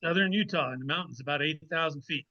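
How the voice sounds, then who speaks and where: A man's voice: on the high side, fast talker. A man speaking as an author guest in a casual, conversational interview over a video call. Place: remote video call from a mountain cabin. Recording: slightly muffled video call audio.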